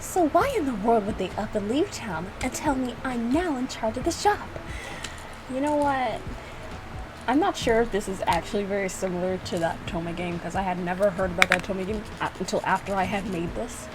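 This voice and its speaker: A young woman with a very animated, swinging delivery, talking casually as she reacts to a game. She is self-mocking and repeats herself often. The recording carries clear background noise. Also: mid-range voice, a little fast. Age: young adult. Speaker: gaming reaction video creator. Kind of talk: casual reaction commentary with lines read aloud.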